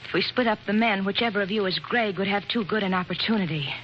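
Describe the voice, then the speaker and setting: An old radio recording, dull and noisy. An actress plays an earnest, anxious young female passenger in a thriller, in a medium-pitched voice. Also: fast talker, lightly acted.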